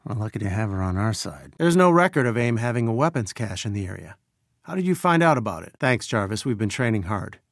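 An actor playing an earnest, wry scientist who leads resistance fighters, briefing in a conversational, clipped way in a video game. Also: male voice, lowish voice, very animated, fast, no echo, studio quality. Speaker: mission dispatcher giving orders and updates.

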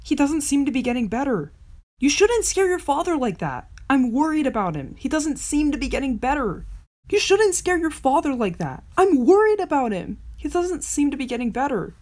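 A woman's voice: high-pitched, sing-song, moderate pace, a little mellow. A woman doing a shy, hesitant young wolf. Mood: worried. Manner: hesitant, stammering, worried. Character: shy, anxious young wolf, self-doubting. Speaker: voice actor auditioning.

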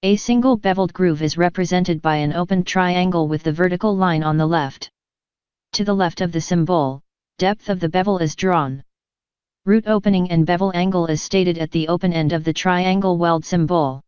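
Clear, low-pitched woman teaching steadily. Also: no room sound, dull audio. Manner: measured, even explanatory delivery. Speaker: instructor. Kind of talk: scripted technical narration.

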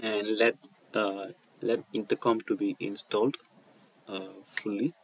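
Low-pitched man giving a halting coding tutorial. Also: little pitch movement, breathy edge, no echo. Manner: halting, repeats and rephrases phrases. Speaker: tutorial presenter. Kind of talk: screen-recorded coding tutorial, unscripted walkthrough.

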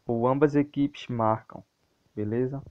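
Brazilian man with an even, flat delivery. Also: medium pitch, unhurried, narrow, tinny audio. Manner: informal, addresses viewers directly. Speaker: host of a football betting tips channel. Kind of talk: casual sports tips commentary over a screen recording.

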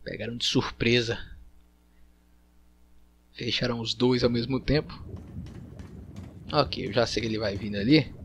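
A man's voice: medium pitch, steady tone, unhurried, long pauses, a bit bright. A man with a Brazilian accent gives casual, playful Let's Play commentary, joking and talking to himself as host of a gaming video. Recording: clear game noise behind voice. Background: video game ambience and mechanical sounds.

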